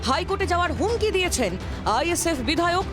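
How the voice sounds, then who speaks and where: High-pitched Bengali news voice-over over music. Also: animated, fast. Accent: Bengali from West Bengal. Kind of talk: scripted news narration. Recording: music bed behind voice.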